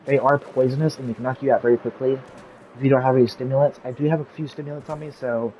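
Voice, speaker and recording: A man's voice: mid-range voice, steady tone, fast talker, muffled recording, a little hiss or hum. Rambling man with a fading voice. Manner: rambling, filler-heavy, easily sidetracked. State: losing his voice. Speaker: gaming YouTuber. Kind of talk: casual gameplay tutorial commentary.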